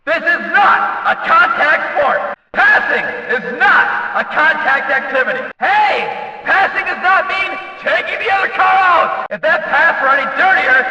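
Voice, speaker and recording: A man playing an excitable, folksy race announcer in a video game, commentating emphatically in a racing style. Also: extremely high pitch, dull audio, heavily acted. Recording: echoey hall, distant from the mic.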